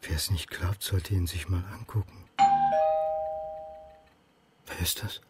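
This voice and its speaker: An actor playing an anxious, suspicious male historian in a radio crime drama, thinking aloud in halting mutters. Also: sits low, fairly even intonation, heavy background noise. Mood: brooding, pained. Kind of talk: radio drama acting with inner monologue.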